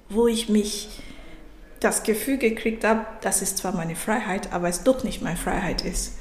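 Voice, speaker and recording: A woman in her thirties from Africa, speaking German as a second language, telling her personal story as a podcast guest in a reflective, halting way with many restarts. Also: on the high side, reverberant, a little hiss or hum. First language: not German. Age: 30s.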